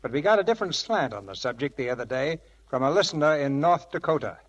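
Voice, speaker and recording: Theatrical male vintage radio host, slightly high voice. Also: expressive, quick pace, dull audio. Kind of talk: scripted 1940s radio narration. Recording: vintage radio broadcast with music.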